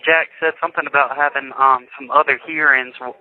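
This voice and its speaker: A man's voice: mid-range voice, steady tone, brisk. A young man talking casually on a recorded telephone call. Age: young adult. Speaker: jail inmate calling his mother. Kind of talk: casual phone conversation. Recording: tinny lo-fi recorded jail phone call.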